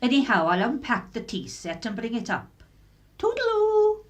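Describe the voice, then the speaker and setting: Actress voicing nosy working-class Englishwoman, animated intonation, colloquial chatter, putting on airs, radio drama. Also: on the high side, normal speed. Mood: nosy, chatty. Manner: gossipy, colloquial, affected politeness.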